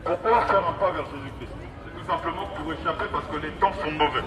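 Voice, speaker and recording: A man's voice: a little high, fairly quick, crowd noise. A man preaching an evangelistic street sermon through a megaphone, emphatic, repetitive and exhorting. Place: outdoors in an urban street. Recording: distant, noisy outdoor megaphone with street traffic.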